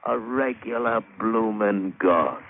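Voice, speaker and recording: A man's voice: lowish voice, takes it slowly, narrow, tinny audio. A man acting a plain-spoken British sailor who spins a wry adventure yarn in colloquial, dramatic 1950s radio style. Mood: amazed, wry. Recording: thin, hissy old radio broadcast.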